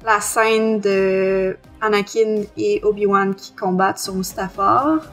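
Co-host: woman, average-pitched, informal talk over a faint music bed. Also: fairly even intonation, slow. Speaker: podcast co-host. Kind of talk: casual chat.

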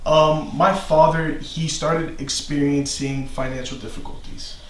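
A man's voice: on the high side, steady tone, unhurried, a bit bright, reverberant, low-quality recording. A man, a client, telling in casual talk about strange things he has seen, earnest and prone to repeating himself. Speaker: client in a haunted house. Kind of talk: casual emotional storytelling. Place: indoor room full of antiques.

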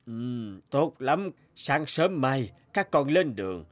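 An actor playing an aging, fatherly king in an animated fairy tale, speaking in a solemn, measured, regal way. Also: mid-range voice. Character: stately aging king, deliberate and fatherly to his sons. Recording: loud music under the voice.

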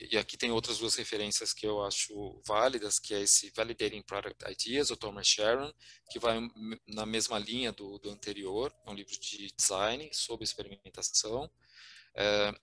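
A man's voice: lowish voice, pushed and bright. A middle-aged Brazilian man with a Brazilian Portuguese accent giving a slide talk on a video call, hesitant, with many fillers and restarts. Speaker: guest speaker. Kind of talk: presenting slides in a virtual meetup. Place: home room on video call.

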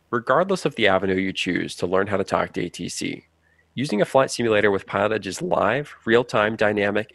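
A man reads scripted explanatory narration in a fairly low voice. There is noticeable creak in his tone, and the recording is dry and close. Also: no room sound. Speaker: narrator of a flight training promo.

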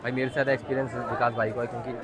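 A man's voice: medium pitch, little pitch movement, rapid-fire, over music. Young male vlogger, casual chat to camera, very noisy market crowd around him. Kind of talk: casual vlog chat to viewers. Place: crowded outdoor street market. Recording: loud outdoor market crowd noise. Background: bustling crowd and street sounds.

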